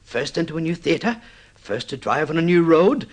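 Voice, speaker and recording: An actor playing an excitable, obsessive newlywed man in an old-time radio drama, halting and stammering as he tells his story. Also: a little high. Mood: proud, excited. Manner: halting, stammering repeats, swinging into boastful excitement.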